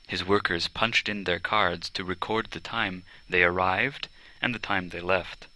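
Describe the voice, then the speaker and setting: Low-voiced, bright male audiobook narrator. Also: fairly even intonation, unhurried, poor audio. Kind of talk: scripted narration of a children's biography. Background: string quartet music.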